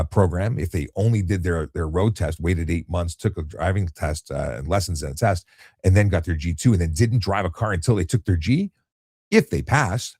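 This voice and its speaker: A middle-aged man with a low voice, calmly explaining things in a relaxed late-night live chat as he reads out viewer questions. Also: slightly rough, no room sound, studio quality. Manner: calm, explanatory, many restarts and fillers. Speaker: retired traffic police officer, live-stream host.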